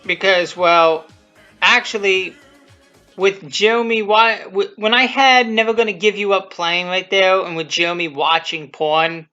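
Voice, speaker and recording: A person giving rambling, unscripted commentary on their own video, halting and repeating themselves, with blunt, self-deprecating swearing. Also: mid-range voice, fairly even intonation, bright, forceful tone. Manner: halting, repetitive, often restarting sentences. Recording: muffled lo-fi audio, music behind.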